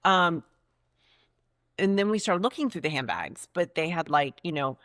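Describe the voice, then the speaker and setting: A woman's voice: lowish voice, animated, moderate pace, a bit bright, no echo, clean studio sound. A woman in her fifties, a nonprofit founder, chatting loosely in an interview, rambling and laughing at herself. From Florida, United States. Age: 50s. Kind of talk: casual interview chat.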